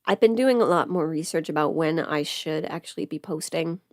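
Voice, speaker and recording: A woman's voice: deep voice, moderate pace, a little mellow, dry recording, clean studio sound. A woman who is sick, chatting casually on a livestream as host, thinking aloud and interrupting herself. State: sick at home with covid. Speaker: livestream host, audio creator. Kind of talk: casual rambling livestream chat. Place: at home.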